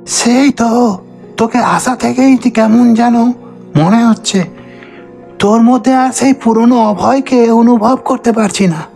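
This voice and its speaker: A woman's voice: fairly high pitch, unhurried, creaky, dark tone. A woman playing a concerned, coaxing old friend in a scripted cartoon story. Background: music.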